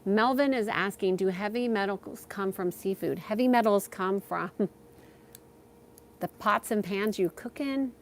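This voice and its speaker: A woman host chatting casually on a livestream as a spiritual guide, thinking aloud in a halting way as she takes viewer questions. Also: medium pitch, slight background noise.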